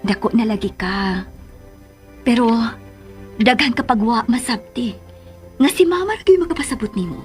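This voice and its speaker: High-voiced, firm radio drama mother played by an actress. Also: lively intonation, normal speed, pauses often, faint music behind, lightly acted. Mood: firm, motherly. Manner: admonishing, motherly. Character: firm, protective mother of a young daughter.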